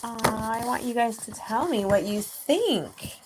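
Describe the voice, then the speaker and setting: A woman reading a children's storybook aloud expressively and gently, with chatty asides to young listeners. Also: on the high side, expressive, unhurried, background noise. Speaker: children's author reading own book aloud.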